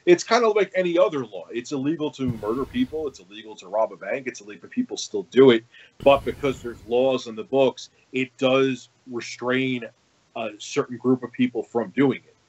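A man in a casual political panel discussion who talks haltingly, repeats words and swears freely. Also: mid-range voice, darkish tone, slightly rough, dull audio. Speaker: podcast panelist.

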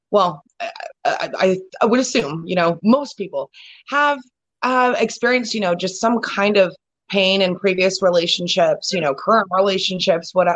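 A woman hosting a relaxed talk, her voice sitting at an ordinary middle pitch. She rambles along, restarting sentences and peppering them with filler phrases. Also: lo-fi sound. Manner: rambling, many "you know" fillers and restarts. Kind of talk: casual conversational chat.

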